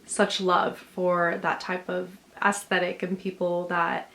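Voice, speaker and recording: A young woman hosting her own channel, chatting casually with many fillers and a gently reassuring manner. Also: fairly low pitch, little pitch movement, slow, vocal fry, poor audio. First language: English. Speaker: channel host. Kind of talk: casual chat, reading subscriber stories.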